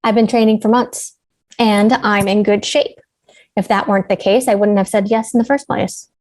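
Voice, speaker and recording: A woman's voice: mid-range voice, fairly even intonation, normal speed, a little mellow, no echo, close to an everyday voice. A woman reading the part of a determined, level-headed veteran mountain climber in a script table read. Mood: firm.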